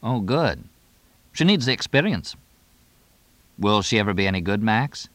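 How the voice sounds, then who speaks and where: Wry horse owner read by a male narrator, curious and teasing, expressive range, audiobook banter. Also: on the low side, normal speed, no echo. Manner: dry, inquisitive, bantering. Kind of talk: audiobook character dialogue.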